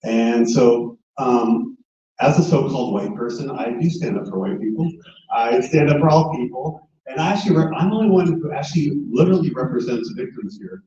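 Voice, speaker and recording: A man running for district attorney, answering at a forum in a quick argumentative way with many fillers. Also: medium pitch, animated, mellow. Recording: echoey hall, distant muffled mic.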